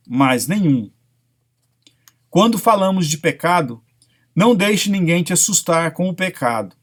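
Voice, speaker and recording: A man with a Brazilian accent reading a spiritual self-help lecture aloud as a narrator. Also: on the high side.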